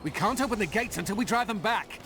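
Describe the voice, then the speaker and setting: High-pitched, earnest militia captain. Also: male voice, expressive, quick pace, noisy background. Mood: urgent, commanding. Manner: urgent, commanding. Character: earnest battle-worn male militia captain. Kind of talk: fantasy video game voice acting.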